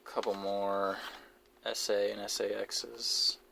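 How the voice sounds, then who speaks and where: A man giving casual, unscripted show-and-tell commentary in a low-key, halting way, as if musing to himself. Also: little pitch movement, vocal fry. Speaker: hobbyist video creator showing a cassette collection. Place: basement, indoors. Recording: noisy amateur audio with clicks and handling noise.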